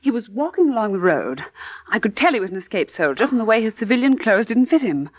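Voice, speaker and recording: A woman's voice: fairly high pitch, big pitch swings, fast, no echo, lo-fi sound. Earnest, daring woman, closely recorded. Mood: quietly determined. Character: earnest, daring woman resistance helper, outwardly meek. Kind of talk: old-time radio drama acting.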